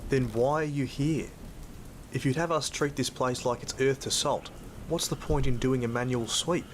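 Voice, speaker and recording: A man acting as a gruff, world-weary cane toad hunter in an audio drama, with an Australian accent and a blunt, jaded manner. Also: mid-range voice, normal speed, slight background noise, close to an everyday voice. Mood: blunt, angry. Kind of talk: first-person narration and dialogue in an audio drama.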